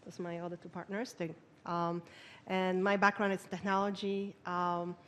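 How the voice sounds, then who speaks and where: A woman from Poland with a Polish accent, introducing herself on a panel in halting speech with many fillers. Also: fairly low pitch, little pitch movement, fairly bright tone. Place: conference hall.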